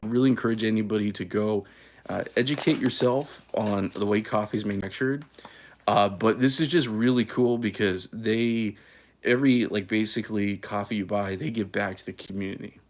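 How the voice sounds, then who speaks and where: A man from California hosting a casual coffee live stream, easygoing and folksy, with many fillers and restarts. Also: lowish voice. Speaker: coffee channel host.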